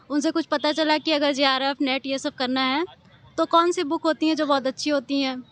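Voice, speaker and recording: A woman's voice: sits high, fairly bright tone, a little hiss or hum. A young woman in her twenties, a graduate answering interview questions and explaining her study methods step by step. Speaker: gold medalist graduate.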